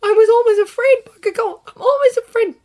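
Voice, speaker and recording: Timid teen boy voiced by a woman: high, shaky, tearful. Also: steady tone, moderate pace, darkish tone. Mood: scared. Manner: high, shaky, near tears. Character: frightened teenage boy hero, timid and shaky.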